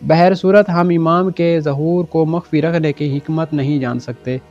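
A man reading a scripted religious narration in a formal, measured way with solemn pauses. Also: fairly high pitch, steady tone, a little mellow. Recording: dull lo-fi voice-over with music behind. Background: background music.

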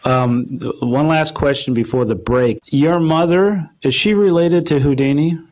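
A man's voice: on the high side, unhurried, a little mellow, rough recording. A man interviewing a guest for a radio club, stumbling over his questions. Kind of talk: casual phone interview.

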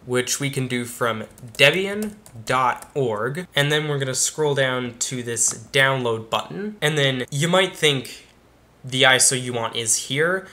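A young man with a casual, explanatory manner walks viewers step by step through a software install in a tutorial. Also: medium pitch, a little slow. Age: young adult. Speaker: tech YouTuber. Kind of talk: screen-recorded tutorial walkthrough. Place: small room indoors.

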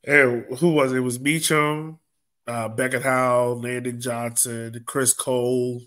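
A man's voice: mid-range voice, flat delivery, a little slow, a bit bright. An American man in his thirties hosting a casual match-analysis podcast over a video call from a room at home. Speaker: sports podcast host. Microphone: video call microphone.

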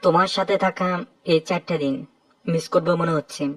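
A woman voicing a calm, gently teasing young male airline pilot in a romantic audio story. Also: on the low side, moderate pace, no room sound, distant mic, voice pushed down, natural voice, rough recording.

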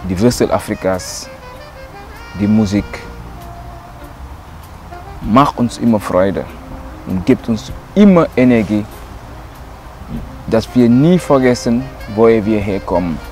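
Slow-spoken Senegalese man over music. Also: fairly low pitch, expressive, darkish tone. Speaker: griot, percussionist. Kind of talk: documentary interview to camera. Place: outdoors in leafy woodland.

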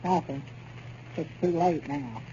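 A man's voice: fairly high pitch, slow pace, mellow. An actor playing a grumbling, exasperated old rustic man with a Southern US accent in an old radio comedy. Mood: sulky, exasperated. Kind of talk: 1930s-40s radio comedy acting. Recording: muffled, noisy old radio recording.

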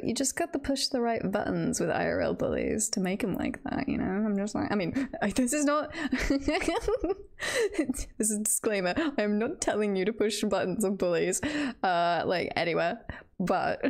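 A woman with noticeable vocal fry and a mid-range pitch chats casually to her live stream viewers. She is playful and giggly in manner. Her thoughts ramble and often trail off. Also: very animated, a little slow, darkish tone. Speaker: streamer and voice roleplayer chatting with viewers.

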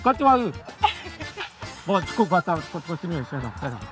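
A loud, intrusive busybody pushes into the conversation in an exaggerated Northeastern Brazilian accent, as a man puts it on. He talks outdoors at a street bus stop, with music beneath the speech. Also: fairly high pitch, big pitch swings, normal speed, pitched below the performer's own voice. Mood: nosy. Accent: put-on Northeastern Brazilian. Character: nosy, pushy local man at a bus stop with a fake regional accent. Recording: TV segment with music behind.

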